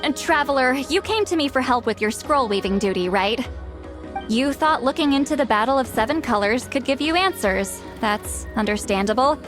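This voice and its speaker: A grumpy, aloof shaman woman from a fantasy game, with a high voice. She talks bluntly and dryly, brushing people off while quietly caring. Soft music plays behind her. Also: lively intonation, normal speed. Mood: matter-of-fact. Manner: blunt, dismissive, dry. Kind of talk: video game voice acting.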